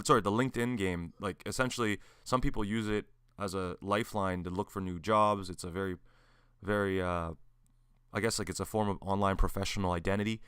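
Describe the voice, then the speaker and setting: Laid-back young-sounding man, bright and crisp. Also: fairly low pitch, no echo, studio quality. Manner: laid-back, says "man" and "dude" often, trips over words. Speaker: podcast host. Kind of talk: casual interview chat. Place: city in Alberta, Canada.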